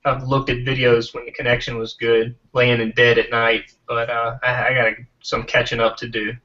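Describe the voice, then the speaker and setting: A man chatting on a casual video call in a relaxed, unhurried way. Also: mid-range voice. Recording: distant dull video call audio.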